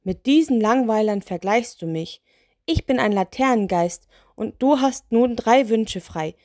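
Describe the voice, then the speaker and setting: A woman's voice: fairly high pitch, animated, moderate pace, a little mellow, no echo, clean studio sound, pitched above the performer's own voice. A woman voicing a gigantic, grumpy male lantern genie for a children's story, huffy and sarcastic. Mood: offended.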